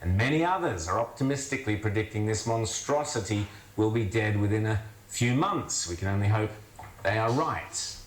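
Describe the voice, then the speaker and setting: Sardonic low-voiced male commentator. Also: lively intonation, moderate pace, echoey room. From Australia. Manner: wry, scornful, emphatic. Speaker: commentator host.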